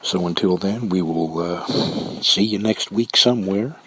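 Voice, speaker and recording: A man's voice: low voice, unhurried, pushed and bright, husky, no echo. A man hosting a radio show, chatting informally and a little hesitantly, repeating himself. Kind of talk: casual unscripted sign-off chat.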